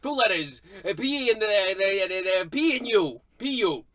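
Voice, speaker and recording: Pompous, sputtering book reviewer, male actor: scornful, high and sharp, radio comedy play. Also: big pitch swings, normal speed. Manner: haughty, indignant, sputtering. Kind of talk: old radio comedy acting.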